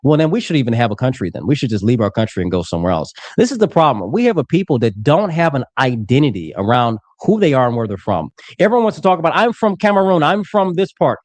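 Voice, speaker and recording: An American man in a heated debate, talking fast with a lively, swinging pitch. He lectures and hammers his points home with blunt insults. The sound is dry and close. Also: no room sound. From United States.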